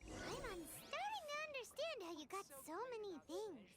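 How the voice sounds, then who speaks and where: A woman voicing a tiny, excitable female fairy companion who refers to herself by name, in a game cutscene. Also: extremely high pitch, normal speed, very noisy. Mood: chirpy. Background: game music.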